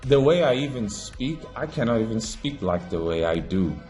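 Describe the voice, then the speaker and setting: A man from Africa giving an impassioned monologue as an online commentator, preachy and emphatic, swinging between raised declarations and low muttering. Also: very animated, moderate pace, a little mellow, faint music behind.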